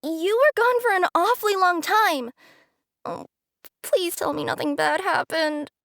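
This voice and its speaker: A woman voicing a gentle, modest young courier in a video game, apologetic and hesitant. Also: extremely high pitch, measured pace, creaky, no room sound, full character voice. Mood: worried, apologetic. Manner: hesitant, deferential. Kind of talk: video game character acting.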